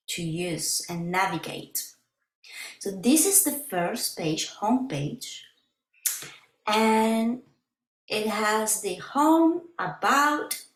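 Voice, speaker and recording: A woman whose first language is Spanish, walking through her website on a screen share in slow, halting English with many fillers and restarts. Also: on the high side, echoey room, poor audio. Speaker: crystal healer presenting her website.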